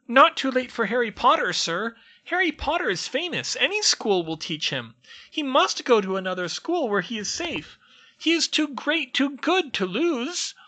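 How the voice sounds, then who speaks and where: A tiny, frantic house-elf squeaks in a piercing high voice, pleading and bowing with every sir. His grammar is broken and his delivery is loud and lively, swooping up and down. The tone is bright and sharp. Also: normal speed, full character voice. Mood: urgent alarmed. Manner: servile, says sir constantly, broken grammar.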